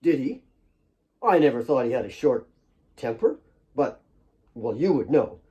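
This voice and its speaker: Audiobook role: sympathetic young constable, acted by a male reader, dark soft tone, careful, hesitant, earnest. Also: medium pitch, very animated, a little slow, dull audio. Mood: earnest doubt. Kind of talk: audiobook character voice.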